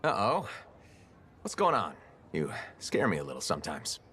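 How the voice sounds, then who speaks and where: An actor playing a confident young freelance pilot in a video game, casual and straight-talking with a dry wit. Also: male voice, low voice, wildly swooping pitch, normal speed, sharp tone, husky, heavily acted. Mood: playful, teasing. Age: young adult. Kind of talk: scripted video game dialogue.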